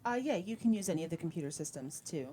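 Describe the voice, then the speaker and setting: A woman's voice: lowish voice, lively intonation, fast talker, dark tone, slight background noise. A woman game master narrating a tabletop roleplaying game. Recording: prominent music behind the voice.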